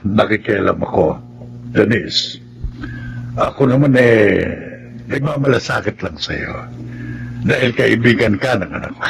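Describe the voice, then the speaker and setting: Creaky, kindly older father. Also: fairly low pitch, lively intonation, unhurried, long pauses, slight background noise. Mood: concerned, advising. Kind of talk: Tagalog radio drama acting.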